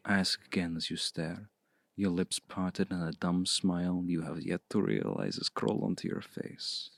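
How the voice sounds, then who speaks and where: A man playing a seductive, commanding male vampire in an intimate ASMR roleplay, speaking slowly and deliberately in a hushed, breathy voice close to the mic. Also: dry recording, heavily acted. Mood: smug, teasing. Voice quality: low, gravelly, breathy. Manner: slow, deliberate, hushed, often breathy near-whisper. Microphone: close mic, dry.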